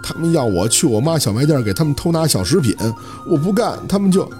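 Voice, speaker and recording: A man's voice: fairly high pitch, very animated, fast talker, dark tone. A timid, wronged little schoolchild from an audiobook tale, played by a man. The speech is plaintive and hesitant, with widely moving intonation. Faint music plays behind it. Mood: aggrieved. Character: timid, aggrieved younger schoolchild, bullied and hesitant.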